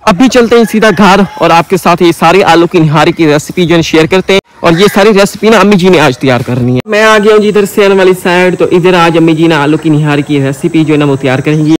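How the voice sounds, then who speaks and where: Fast-talking Pakistani man with a higher-pitched voice. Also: low-quality recording. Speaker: village cooking vlogger. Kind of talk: casual recipe narration. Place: outdoors in village fields and a brick-walled courtyard. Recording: clear outdoor ambient noise.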